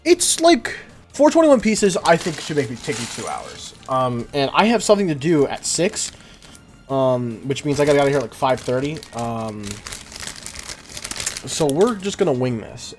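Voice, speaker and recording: Animated young man chatting on stream. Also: medium pitch, moderate pace, faint music behind. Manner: slangy, profane, self-deprecating. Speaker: livestreamer, host. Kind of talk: casual livestream chat while building.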